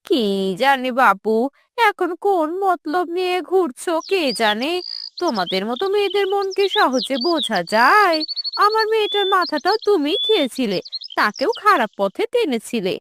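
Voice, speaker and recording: A woman's voice: very high voice, very animated, moderate pace, noisy background. Woman acting a scornful, suspicious neighbourhood auntie. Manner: taunting, accusing.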